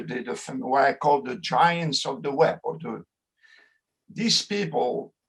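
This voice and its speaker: A middle-aged sociologist with a French accent gives a lecture over a video call. He speaks slowly in a slightly high voice, pausing and restarting often as he searches for words in English. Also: breathy edge, low-quality recording. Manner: slow, halting, many fillers and restarts. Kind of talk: online lecture.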